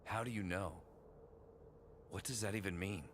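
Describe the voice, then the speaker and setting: A man's voice: lowish voice, normal speed, a little hiss or hum, lightly acted. A man playing a bitter, defiant warrior in an audio drama, sarcastic and emphatic in his indignation. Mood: incredulous, sarcastic. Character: bitter, defiant male warrior refusing a heavy burden. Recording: loud music under the voice.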